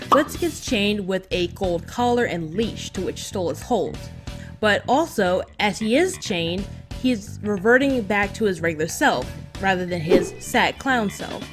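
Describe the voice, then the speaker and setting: A woman co-hosting a fan recap, talking casually and conversationally, with a giggly manner, as she comments on an episode. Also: mid-range voice.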